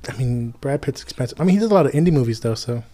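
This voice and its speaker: A man's voice: mid-range voice, animated, racing, mellow. A man co-hosting a casual movie podcast, laid-back and dry, with a teasing streak. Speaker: podcast co-host.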